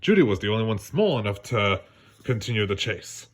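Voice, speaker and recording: A man narrating a children's read-along story in a lively storytelling manner. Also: on the low side, fairly quick, a little hiss or hum. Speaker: storybook reader.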